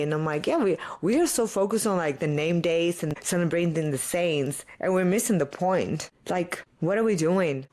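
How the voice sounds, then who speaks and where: Solo podcast host with a Greek accent, a native Greek speaker; earnest, questioning confessional rant at a middle pitch. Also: darkish tone. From Greece. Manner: earnest, questioning, ranting with rhetorical questions and frequent "like". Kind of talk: casual solo confessional talk.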